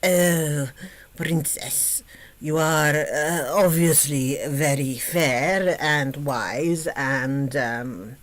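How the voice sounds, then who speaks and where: A woman voicing a nervous, flattering male Minotaur with a Welsh accent, stammering as he gives in. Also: low-pitched, drawn-out words, voice pushed down. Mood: fawning. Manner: flustered, fawning, stammering.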